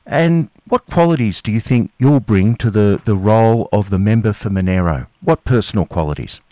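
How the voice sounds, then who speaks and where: Australian man, radio host, low voice, casual hesitant questions, dry sound. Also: expressive, moderate pace, mellow, dry recording. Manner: casual, hesitant, friendly chat. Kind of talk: radio interview.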